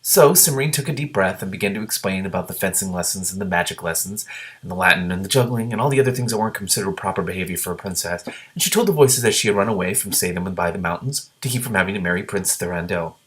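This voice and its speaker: Low voiced guy reading a book out loud, gets excited and swears in his asides. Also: fast. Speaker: reader and commentator. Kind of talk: reading a book aloud with reactions.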